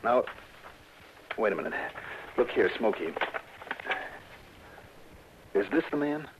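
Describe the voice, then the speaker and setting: An actor with an American accent playing a brisk, insistent insurance investigator in a 1960s radio drama. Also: male voice, on the low side, expressive. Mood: shrewd, pressing. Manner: clipped, insistent questioning. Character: brisk, hard-driving male private insurance investigator. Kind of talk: 1960s radio drama acting with first-person narration. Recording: old muffled radio recording with hiss.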